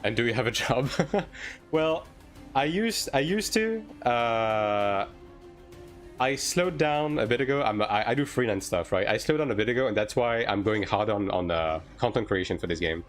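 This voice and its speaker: A man from France who grew up speaking French talks casually as a game streamer. His voice sits at a middle pitch, and he speaks informally, often checking in with a tag question. Music plays faintly behind him. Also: animated, moderate pace. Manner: informal, hedging, tags sentences with "right?". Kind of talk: casual livestream chat answering viewer questions.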